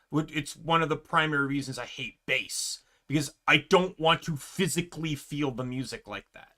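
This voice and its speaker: A young man streaming, chatting casually with a loud voice, rambling with lots of fillers and restarts. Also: mid-range voice.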